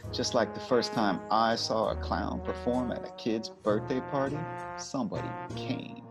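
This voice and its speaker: A man as low noir narrator over loud brass music. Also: normal speed, gravelly. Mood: deadpan storytelling. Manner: deadpan, sardonic. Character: sardonic hard-boiled noir storyteller narrating detective tale. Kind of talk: scripted noir parody narration.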